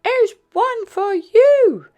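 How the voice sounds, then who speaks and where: A woman's voice: very high voice, animated, extremely slow, mellow, faint music behind, voice pushed up, full character voice. A woman voicing a playful, cheeky young boy in a storybook reading.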